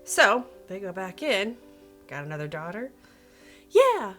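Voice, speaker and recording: A woman's voice: mid-range voice, very animated, unhurried, a bit bright, faint music behind. Woman telling stories with sarcastic asides. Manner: sarcastic, self-interrupting, with playful asides. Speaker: video host and storyteller. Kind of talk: casual, comedic storytelling to viewers.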